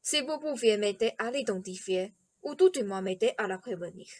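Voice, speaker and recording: A woman's voice: mid-range voice, expressive, moderate pace, lightly acted. A woman playing a courteous, eccentric king and doting father, speaking formally and over-politely in a radio play. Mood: courteous, confiding. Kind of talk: theatrical radio play acting.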